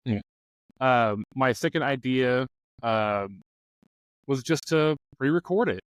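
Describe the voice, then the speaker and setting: Clean, smooth-voiced man chatting casually. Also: mid-range voice, slow, dry recording, rough recording. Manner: loose, informal, thinking aloud. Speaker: discussion panelist on a game master advice show. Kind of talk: casual chat.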